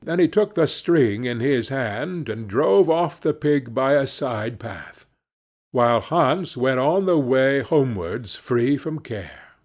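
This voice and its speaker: A man narrating a fairy tale as an audiobook reader, in an expressive scripted style. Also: medium pitch, takes it slowly.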